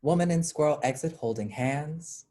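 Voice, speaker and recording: Female stage-directions reader for a play reading, deep-toned, scripted narrator delivery. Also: moderate pace, warm tone, a little hiss or hum.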